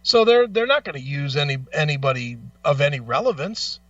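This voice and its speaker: A man's voice: fairly high pitch, very animated, a little fast, fairly bright tone, no echo, distant mic, highs cut off. A man hosting a talk show, ranting casually in a blunt, profane, emphatic way and arguing through rhetorical questions.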